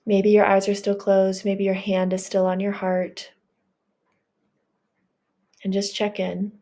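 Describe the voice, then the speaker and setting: A woman leading a guided healing meditation, speaking in a gentle, reassuring and affirming way. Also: medium pitch, monotone, slow pace.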